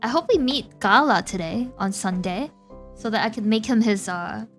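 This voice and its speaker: A young woman streaming a game, chatting to viewers in an excitable, giggly, talkative way. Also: medium pitch, moderate pace, soft background music. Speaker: VTuber streamer. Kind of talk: casual game-stream commentary.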